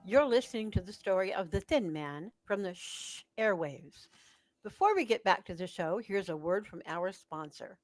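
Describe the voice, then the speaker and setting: A woman's voice: mid-range voice, animated, moderate pace, no echo, rough recording. Dry, close-miked woman radio announcer. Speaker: announcer and narrator. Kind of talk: scripted radio narration and sponsor read.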